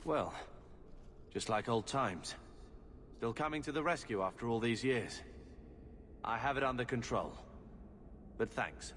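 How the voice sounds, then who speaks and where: An actor playing a proud, battle-worn young warrior in a fantasy video game, dry and sardonic. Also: male voice, medium pitch, lively intonation, unhurried, pauses often, a bit bright. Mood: wry. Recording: echoing reverb with background noise.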